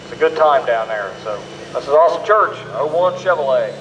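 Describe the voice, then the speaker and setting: A man's voice: a little high, lively intonation, moderate pace, highs cut off, background noise. Distant male announcer over roaring engines. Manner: reads distances twice, clipped and plain. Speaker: truck pull announcer. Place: outdoor fairground. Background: roaring truck engines.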